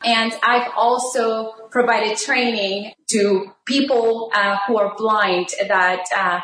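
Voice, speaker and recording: A middle-aged woman, a Spanish native speaker, talks through a cooking lesson in a friendly, rambling way, full of ums and uhs. Her voice sits a little high. The room around her rings with noticeable echo. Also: steady tone, slow, fairly bright tone. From Texas border region. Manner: friendly, chatty, many fillers and restarts. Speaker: rehabilitation teacher, guest. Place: home kitchen.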